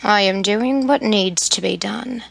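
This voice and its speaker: A woman voicing Charles, a stern, teasing young nobleman, in a slightly lowered, firm voice while reading a story. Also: measured pace, vocal fry, fairly bright tone, husky, no room sound, full character voice.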